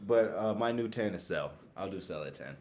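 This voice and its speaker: A man's voice: low voice, expressive, moderate pace, darkish tone. A man talking casually and emphatically as a podcast co-host, using plenty of slang and some swearing. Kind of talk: casual chat ranking favourites.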